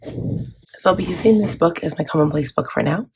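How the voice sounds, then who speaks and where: A woman's voice: lowish voice, normal speed, a little mellow. A woman with a scratchy voice chatting casually to her channel, with frequent fillers and restarts. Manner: chatty, filler-heavy, self-correcting. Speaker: planner vlogger. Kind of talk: casual chat.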